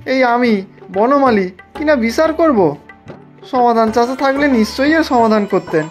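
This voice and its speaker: A woman's voice: a little high, normal speed, faint music behind, natural voice. A woman voicing a humble, folksy village tea-seller uncle in a cartoon, given to exclamations. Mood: anxious, resolving. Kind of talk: animated cartoon dialogue.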